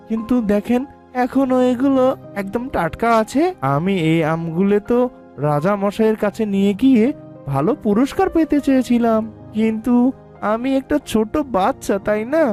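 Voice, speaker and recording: A woman's voice: on the high side, lively intonation, normal speed, warm tone, close to an everyday voice. Cartoon role: clever young child vendor voiced by a woman, wheedling and persuasive, faint music underneath. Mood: coaxing, salesy. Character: clever small child mango seller, wheedling salesman. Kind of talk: cartoon moral story dialogue.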